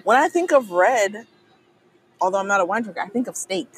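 A woman's voice: medium pitch, brisk, a little hiss or hum, poor audio. A woman with a Trinidadian accent co-hosting a casual podcast, joking and exclaiming over wine on an outdoor patio. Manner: lively, exclaims and jokes. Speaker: podcast co-host. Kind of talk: casual wine-tasting chat.

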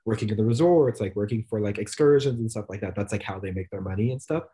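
A young man co-hosting a casual podcast, his voice soft and mellow. He rambles easily, leaning on fillers and breaking into laughs. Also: fairly low pitch, quick pace. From United States. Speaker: podcast co-host. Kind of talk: casual chat.

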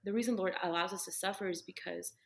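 A woman's voice: lowish voice, fairly even intonation, quick pace, creaky. Earnest young woman, filler-heavy chat. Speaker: podcast guest. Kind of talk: casual faith conversation.

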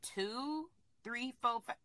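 A woman co-hosting a podcast in casual chat, animated and emphatic, swearing freely. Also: fairly high pitch, slow, no echo. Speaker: podcast co-host.

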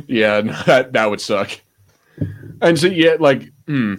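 A man's voice: medium pitch, expressive, measured pace. Casual man co-hosting a chatty podcast. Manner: rambling, swears freely. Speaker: podcast co-host. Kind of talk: casual chat, pop-culture review.

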